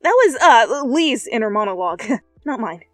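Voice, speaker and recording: A woman's voice: on the high side, big pitch swings, moderate pace, no room sound, clean studio sound. A young woman reading fanfiction as ASMR, casual, with laughing, swearing asides. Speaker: fanfic reader. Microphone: close studio mic. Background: soft music.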